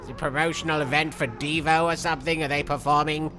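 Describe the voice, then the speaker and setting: A man voicing an excitable, vain cartoon villain, giving theatrical, petulant, jokey commentary on a video game. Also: a little high, quick pace, a little hiss or hum. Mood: indignant, excited. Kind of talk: in-character let's play commentary.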